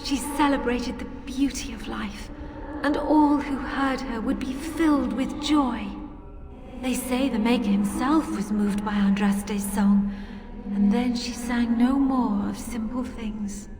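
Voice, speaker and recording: An actress voicing a gentle, wistful spirit of a devoted friend, speaking lyrically in a fantasy game. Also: female voice, a little high, slow pace, over music. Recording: distant, noisy echoing hall.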